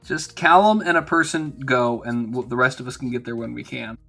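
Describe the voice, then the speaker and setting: Blunt male player, husky and bright-toned, with faint music behind. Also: medium pitch, a little fast. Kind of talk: casual tabletop game chat.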